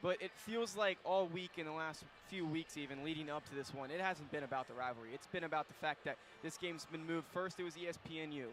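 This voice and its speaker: A young man hosting a college basketball pregame show on student radio, courtside in a packed arena, talking excitedly and rambling with fillers. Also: a little high, fast talker, few pauses. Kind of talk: live basketball pregame talk. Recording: faint arena noise behind.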